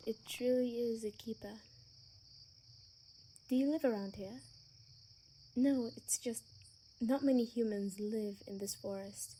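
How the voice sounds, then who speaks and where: A woman playing a gentle, sheltered young female vampire in an ASMR roleplay, speaking softly and hesitantly, at times in a breathy whisper. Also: on the high side, slight background noise.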